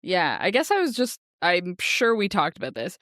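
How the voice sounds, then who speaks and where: A woman with a fairly low but very animated voice chats as a podcast co-host. She sounds jokey and questioning, in a dry recording free of room sound. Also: moderate pace, no echo. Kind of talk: casual book discussion chat.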